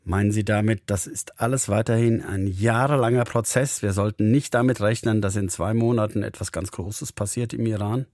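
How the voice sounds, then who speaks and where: A man hosting a radio interview, asking measured, formal questions. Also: lowish voice, steady tone, darkish tone, no echo, clean studio sound. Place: radio studio.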